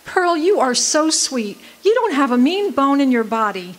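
A woman's voice: sits high, big pitch swings, moderate pace. A woman acting in a radio drama as a feisty, bewildered gold-rush singer, with a theatrical, plaintive manner. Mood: concerned. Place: live performance at a community venue.

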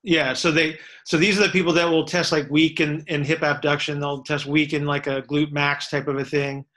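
Male trainer, fairly high and bright, explains patiently in steps over a video call. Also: level tone, normal speed. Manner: explains step by step, repeats words, checks for understanding. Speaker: strength and fitness coach answering questions. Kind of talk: casual expert Q&A explanation. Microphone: webcam on a video call.

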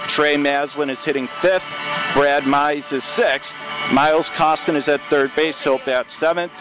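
A young male radio announcer calling a college baseball game pitch by pitch. His voice sits a little high for a man, and he keeps an even, measured delivery that leaps upward when a strikeout or error happens. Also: music in the background, rough recording. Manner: steady, measured calling that rises sharply on big plays. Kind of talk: baseball play-by-play commentary.

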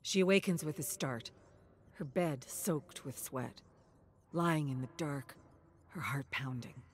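Slow, suspenseful woman storyteller, lowish voice. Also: slight background noise. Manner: suspenseful, theatrical, deliberate phrasing. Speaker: narrator. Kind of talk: dramatic scripted story narration.

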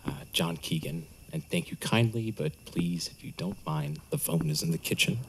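An actor playing a nervous man who killed his wife, muttering to himself in hushed tones in an audio drama. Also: rumbling low, moderate pace, background noise. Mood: tense. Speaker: murderer hiding his wife's body.

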